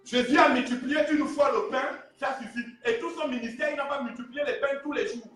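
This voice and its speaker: A man's voice: very high-pitched, steady tone, fairly quick, a bit bright, soft background music. A man with a Gabonese French accent, preaching a fiery sermon and urging on his congregation. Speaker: pastor. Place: church hall with a congregation. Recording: very echoey hall recorded from a distance.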